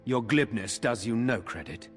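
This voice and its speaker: An actor voicing a haughty, grumpy mage in a fantasy video game, curt, indignant and formal. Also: male voice, mid-range voice, expressive, moderate pace, soft background music, lightly acted. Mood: irritated, disdainful.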